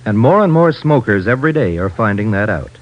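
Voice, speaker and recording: A man's voice: lowish voice, sing-song, a little fast. A man announcing a scripted commercial in 1950s radio style. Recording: muffled old radio broadcast recording.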